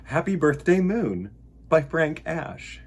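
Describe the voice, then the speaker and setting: A man's voice: on the high side, big pitch swings, slow, husky. A man hosting a children's storytime, reading picture books aloud with playful, theatrical delivery and rambling asides. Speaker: storytime host.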